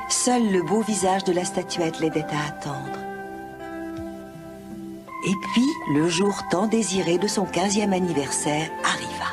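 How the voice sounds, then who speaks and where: A woman storyteller giving scripted fairy-tale narration for a children's animated film. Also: on the low side.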